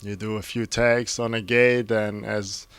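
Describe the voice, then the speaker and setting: Smooth, low-voiced man. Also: a little slow, no echo. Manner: relaxed, matter-of-fact. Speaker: graffiti artist guest. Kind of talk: casual interview answers.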